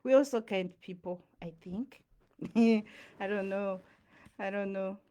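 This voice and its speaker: A woman's voice: medium pitch, slow pace, dark tone. A young woman from Zimbabwe talking casually to camera in a rambling, chatty way, full of fillers and asides. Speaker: vlogger. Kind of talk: casual talking-head monologue.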